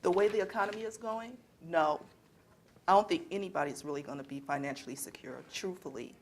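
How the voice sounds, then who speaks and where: A woman's voice: lowish voice, brisk, a little mellow. A young woman performing scripted lines as a student in readers theater. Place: college library event. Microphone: worn microphone.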